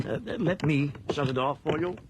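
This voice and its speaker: An actor playing a dignified, considerate judge in a radio drama, speaking politely and formally. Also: male voice, fairly high pitch, moderate pace, heavy background noise, close to an everyday voice. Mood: courteous.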